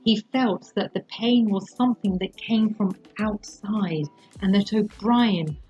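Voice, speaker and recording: A woman narrating a literary story aloud as the host of a bedtime reading, in an expressive storytelling style. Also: mid-range voice, unhurried, husky, faint music behind. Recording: dull lo-fi live-stream sound.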